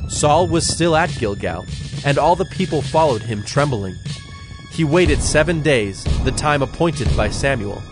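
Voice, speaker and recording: A man narrating dramatized scripture in a measured, solemn way. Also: mid-range voice.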